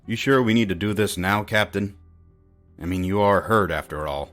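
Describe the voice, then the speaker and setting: An actor playing a brooding war-veteran police detective with a grave, hardboiled manner in a noir audio drama. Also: male voice, on the low side, moderate pace, fairly bright tone, soft background music, lightly acted. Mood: deferential, concerned.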